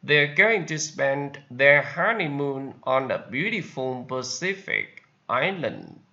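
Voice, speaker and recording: A man with a Vietnamese accent, a native Vietnamese speaker, teaching English vocabulary and repeating each example sentence slowly. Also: mid-range voice, wildly swooping pitch, bright, forceful tone, lo-fi sound. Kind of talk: slow vocabulary lesson with repetition.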